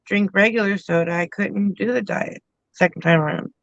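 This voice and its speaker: A woman's voice: medium pitch, moderate pace, no echo. Easygoing woman co-hosting, slightly dull sound. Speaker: livestream co-host. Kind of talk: casual livestream chat.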